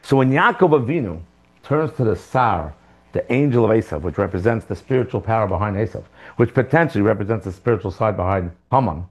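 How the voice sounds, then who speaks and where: A rabbi giving a lively Torah class in a classroom, asking rhetorical questions with sudden jumps in pitch and loudness. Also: male voice, brisk. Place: classroom with students.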